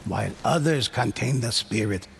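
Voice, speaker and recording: A man playing a solemn storyteller in a game, narrating samurai-era legends in a measured, hushed, dramatic way with a gravelly voice. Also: mid-range voice, animated, slight background noise. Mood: solemn, instructive.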